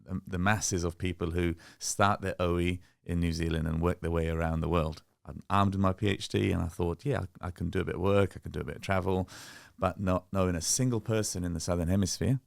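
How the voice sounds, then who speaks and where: A middle-aged man speaks as an interview guest, calm and friendly, telling his story at an even pace. His voice sits very low and carries a strong gravelly fry. The room sound is dry and close. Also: fairly quick, few pauses, dry recording. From born in Bangladesh, raised in England. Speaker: guest, sports science professor. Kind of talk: relaxed interview conversation.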